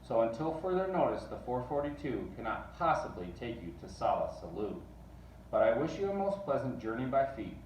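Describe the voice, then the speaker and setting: An officious, apologetic bus president making a formal announcement, as read out by a man in a storybook. The medium-pitched voice is distant from the mic in an echoey room. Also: very animated, normal speed, darkish tone, natural voice. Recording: distant mic, roomy echo, faint noise.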